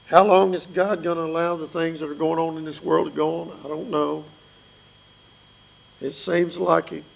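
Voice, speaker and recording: Raspy, gravelly-voiced preacher man. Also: a little high, poor audio. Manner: earnest, pleading with listeners. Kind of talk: sermon.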